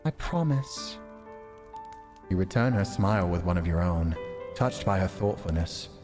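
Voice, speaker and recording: A man's voice: deep voice, moderate pace. A man streaming a visual novel, narrating it expressively and adding candid, reflective, informal commentary. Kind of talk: expressive story narration mixed with casual commentary. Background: music.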